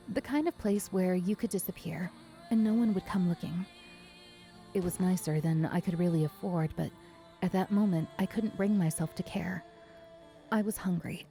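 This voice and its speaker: A woman's voice: fairly low pitch, mellow, lightly acted. A woman playing a weary, self-conscious but defiant young woman in a first-person audio drama. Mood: weary, reflective.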